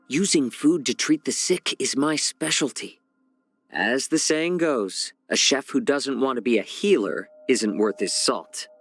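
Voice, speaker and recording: An actor voicing a genial, sly fox-man healer and chef in a video game, speaking softly and smoothly in a measured, gently teasing way. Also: mid-range voice, dry recording. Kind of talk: scripted game character voice lines.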